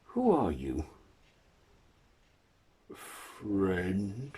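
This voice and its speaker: Storybook creature, played by a male narrator: hulking but childlike and lonely, low husky voice, groping for each simple word. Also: huge pitch swings, mellow, exaggerated voice. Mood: halting, unsure. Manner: halting, few simple words. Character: lonely childlike hulking monster, halting and learning words.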